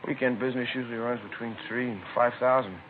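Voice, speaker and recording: A faintly hissy, narrow-band 1950s radio drama recording. A man plays a chatty shopkeeper witness with a medium-pitched voice, talking in colloquial, broken phrases with an aggrieved, put-out air. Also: fairly even intonation, quick pace, lightly acted. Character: chatty, put-out male liquor store manager, robbery victim.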